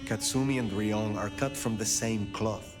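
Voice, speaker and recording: Game casting: man as formal, composed vampire-world advisor, lowish voice, measured delivery, music behind. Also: close to an everyday voice. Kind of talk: video game voice acting.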